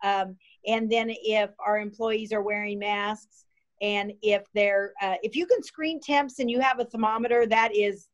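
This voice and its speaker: A woman's voice: mid-range voice, fairly even intonation, unhurried, dull audio. A woman from the health department explaining contact tracing and staff screening over a video call. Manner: practical, explanatory.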